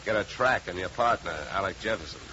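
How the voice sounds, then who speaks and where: An actor playing a wry, hard-boiled insurance investigator in a 1950s American radio drama, narrating and talking in a dry, clipped, wisecracking way. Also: male voice, sits low, quick pace, noisy background, natural voice. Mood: brisk, dry. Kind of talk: 1950s radio detective drama, first-person narration and dialogue. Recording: dull, lo-fi 1950s radio recording.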